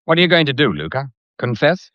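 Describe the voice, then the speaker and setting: A man's voice: mid-range voice, sing-song, quick pace, no room sound, lightly acted. An actor playing a mocking, sceptical Englishman in a mystery radio drama, wry and challenging. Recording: dull, muffled radio drama recording.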